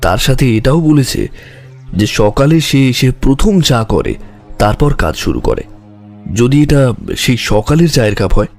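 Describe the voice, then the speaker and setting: A man playing a calm, observant detective in an audio drama, questioning in a measured, deliberate way. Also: mid-range voice, soft background music. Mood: thoughtful. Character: calm, probing male detective, sharply observant.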